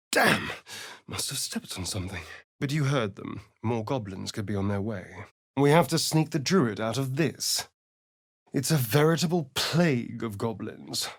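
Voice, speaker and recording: A sly vampire rogue for a fantasy video game. His delivery is flamboyant and unhurried, with wide, lively swings of pitch. He enunciates precisely, with an arch, teasing edge and a slightly husky voice in a very dry recording. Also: no echo, heavily acted. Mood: alarmed, disgusted, then dry, wry. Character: sly, theatrical male vampire spawn rogue, centuries old. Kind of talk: video game character voice lines and narration.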